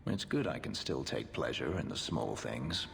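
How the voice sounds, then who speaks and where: An actor playing a bitter, brooding former servant in a fantasy game, speaking in a dry, guarded, understated way. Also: male voice, sing-song, normal speed, heavily acted. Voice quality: deep, gravelly. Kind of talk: cinematic fantasy game acting. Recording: loud music behind.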